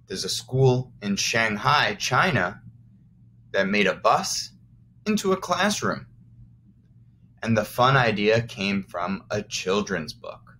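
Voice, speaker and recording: A man's voice: lowish voice, a bit bright, slight background noise. A man teaching first graders, reading aloud expressively and encouragingly, with simple explanatory asides for young children.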